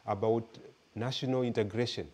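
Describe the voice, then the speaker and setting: A Kenyan man giving an academic lecture and answering audience questions, measured and searching for words as he talks. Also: medium pitch, fairly even intonation, a little mellow. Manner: measured, repeats words while searching for them. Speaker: guest lecturer.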